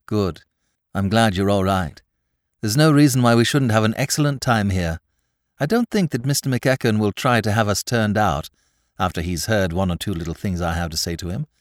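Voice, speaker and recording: A man voicing a suave young gentleman and former crook, calm and dryly ironic. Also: on the low side, normal speed, no room sound, studio quality, natural voice. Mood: wry.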